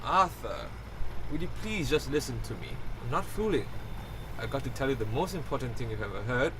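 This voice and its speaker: A man's voice: mid-range voice, sing-song, brisk, background noise, natural voice. A man acting a blunt, matter-of-fact alien posing as a human in a radio play. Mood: urgent.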